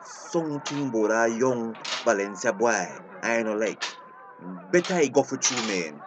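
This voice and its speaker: A male actor plays a shady Belizean fisherman in a drama, full of swagger and grudges. His Creole English swings widely in pitch, and there is clear noise on the recording. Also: animated, moderate pace, natural voice. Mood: resentful. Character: resentful, swaggering male small-time crook fisherman. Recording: clearly noisy location sound.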